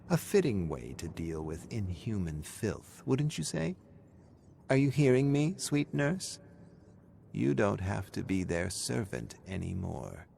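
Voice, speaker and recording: A man's voice: lowish voice, vocal fry, a little mellow, slight background noise. A man voicing a deranged, zealous woman in an asylum, rambling conversationally and menacingly in a horror story. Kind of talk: horror audiobook character voice.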